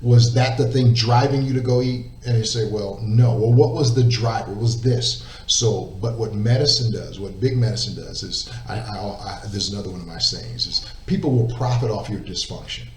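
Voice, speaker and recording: A man's voice: lowish voice, moderate pace, few pauses. Earnest man in a roomy, distant recording. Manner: emphatic, repeats words, asks "right?". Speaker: interview guest. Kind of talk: earnest faith testimony. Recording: roomy echo, distant mic, faint noise.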